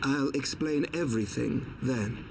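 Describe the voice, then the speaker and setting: A man playing a composed, enigmatic fantasy guide in a video game, speaking in a formal, measured way. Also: medium pitch, creaky, noisy background. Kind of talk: video game cutscene acting.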